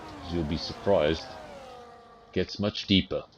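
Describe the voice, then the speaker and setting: A man with a fairly low voice calls a simulated race live for his stream. He talks casually and straight to the viewers, often making fun of himself. A faint hiss of noise sits under the recording. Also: steady tone, slow. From South Africa. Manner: chatty, self-deprecating, addresses viewers directly. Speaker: sim racing commentator. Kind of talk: live esports race commentary.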